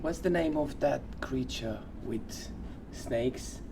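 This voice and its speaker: A man's voice: mid-range voice, slow pace. A man co-hosting a comedy podcast, chatting casually in short, agreeable interjections. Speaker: podcast co-host. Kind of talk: casual comic podcast chat. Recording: clear background noise.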